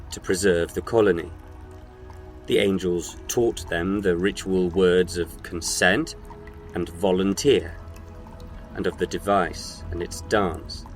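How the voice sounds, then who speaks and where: A man with a very low voice narrates a scripted story. He is solemn and measured, and faint music plays behind him.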